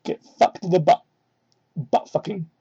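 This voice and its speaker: A medium-pitched voice reads fan fiction aloud in a mocking, theatrical way. The reader keeps dropping into incredulous, exasperated asides and swears freely. The sound is that of a cheap hobby microphone. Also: a little slow, dark tone, slightly rough, dull audio. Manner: exasperated, incredulous, foul-mouthed. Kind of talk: mocking dramatic reading with ad-libbed asides. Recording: poor-quality hobby microphone.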